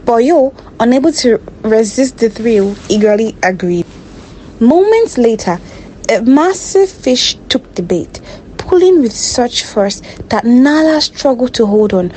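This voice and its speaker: A woman storyteller reading a scripted folktale in a dramatic, measured way. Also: on the high side, animated, dark tone, slight background noise, poor audio.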